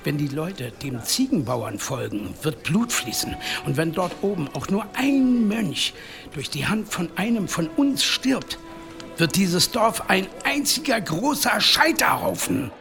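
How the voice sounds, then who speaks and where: A man acting an emphatic village headman in a German radio drama, firm and urging calm with authority. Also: high voice, measured pace, gravelly, music in the background, full character voice. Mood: grave, urgent. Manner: emphatic, declamatory.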